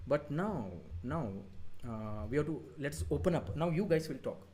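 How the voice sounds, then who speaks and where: Indian man teaching, relaxed and halting. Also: on the high side, slight background noise. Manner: relaxed, hesitant, many fillers, mixing in Hindi; brief praise of students' work. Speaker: exam coaching teacher. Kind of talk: live online class, reviewing answers.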